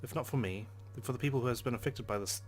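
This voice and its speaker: A man's voice: mid-range voice, very fast, slightly rough. A man reading visual novel narration aloud dramatically as a let's play host, with brief casual asides. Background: game background music.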